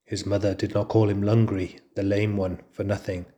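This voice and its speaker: A man voicing a calm, wise mother wolf who speaks quietly and dryly in a storybook reading. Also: on the low side, natural voice. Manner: quiet, dry and measured.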